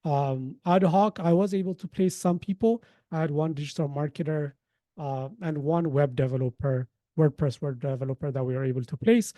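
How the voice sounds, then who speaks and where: Mellow-voiced man presenting a webinar. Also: on the high side, steady tone, moderate pace, dry recording, muffled recording. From Ethiopia. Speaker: webinar host, company founder.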